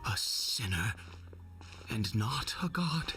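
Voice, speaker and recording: An actor playing an unstable, doting father turned religious zealot in a video game, speaking in a theatrical, halting, reverent manner. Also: on the low side, very animated, bright, forceful tone, soft background music, heavily acted. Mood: shaken, fervent. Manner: theatrical, halting, reverent, prone to nervous laughs and sighs. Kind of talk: dramatic video game voice acting.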